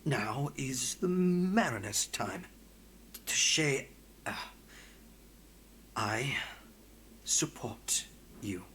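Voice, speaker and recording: An actor playing a muddled, earnest man recovering his speech, breathy and stammering in broken phrases in a video game. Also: medium pitch, lively intonation, very slow, long pauses, a bit bright, slight background noise. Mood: strained, earnest. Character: muddled, halting male friend, recovering and earnest.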